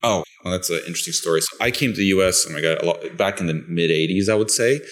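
A man's voice: low voice, quick pace, a bit bright. A middle-aged man from Bulgaria, a podcast co-host, chatting casually and telling his background with frequent restarts. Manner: conversational storyteller with restarts.

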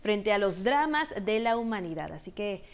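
A woman's voice: mid-range voice, very animated, moderate pace. A woman co-anchoring a news show, reporting and adding her own comments.

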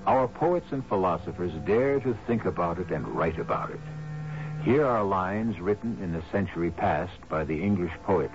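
Male host, scripted narration, measured, portentous, low animated voice, muffled radio recording, music bed. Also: noisy background.

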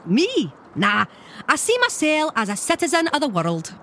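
A woman's voice: sits high, huge pitch swings, moderate pace, bright, forceful tone, a little hiss or hum, full character voice. A woman playing a huge, grumpy female loch monster with a Scottish accent and salty, indignant slang in a comedy audio drama. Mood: feisty, dry. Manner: brash, salty, indignant slang-heavy talk. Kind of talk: audio fiction comedy acting.

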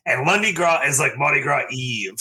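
A man's voice: mid-range voice, animated, normal speed, sharp tone. A man chatting casually as a bartender guest on a podcast. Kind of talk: casual chat.

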